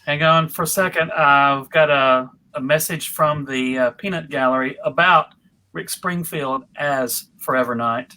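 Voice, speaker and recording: A man's voice: fairly high pitch, measured pace, bright, forceful tone, rough recording. A man bantering casually as a panelist, recorded slightly away from the microphone.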